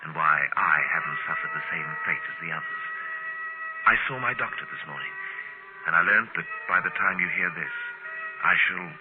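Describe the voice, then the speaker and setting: A man's voice: low voice, animated, moderate pace. A man acting as a grave, haunted narrator with a solemn, dramatic delivery in an old radio drama. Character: Mark, grave haunted man narrating his dreadful secret. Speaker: narrator and lead actor. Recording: tinny vintage radio recording with music.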